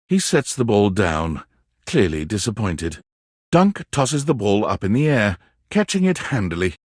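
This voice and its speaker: A wry male narrator guides the player through a playful dating game. His voice is slightly low and husky, with very lively swings in intonation. He tells each scene in an expressive, theatrical storyteller's manner. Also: no echo, studio quality, full character voice. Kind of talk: scripted second-person narration.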